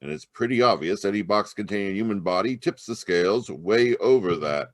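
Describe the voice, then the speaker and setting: Man acting as animated, shrewd police inspector. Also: lowish voice, a little fast, no room sound, studio quality, natural voice. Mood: accusing. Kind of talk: old-time radio mystery acting. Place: live video call at home. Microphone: video call.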